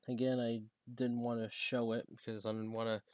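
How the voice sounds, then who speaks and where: A man talking casually and haltingly, thinking aloud as he demonstrates a gadget he is setting up. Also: medium pitch, level tone, a little mellow, no room sound. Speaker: product reviewer demonstrating a gadget. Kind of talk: casual unscripted unboxing and setup demo.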